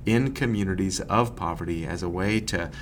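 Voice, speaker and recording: A man from Massachusetts, a nonprofit executive, answering interview questions in an earnest, measured way. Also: deep voice, a little hiss or hum. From Massachusetts, USA.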